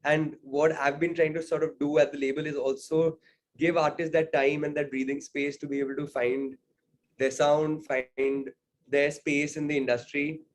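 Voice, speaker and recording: A man's voice: fairly high pitch, flat delivery, moderate pace, slight background noise, low-quality recording. A young man from India, a singer-songwriter, answering interview questions thoughtfully, with frequent fillers and "right?" tags.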